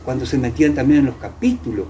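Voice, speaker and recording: Older Argentine male, soft-edged dark timbre, halting conversational storyteller recalling the past, slight room noise. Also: medium pitch, lively intonation. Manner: halting, repeats words and phrases. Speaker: interviewee, veteran radio drama actor. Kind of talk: casual reminiscing conversation.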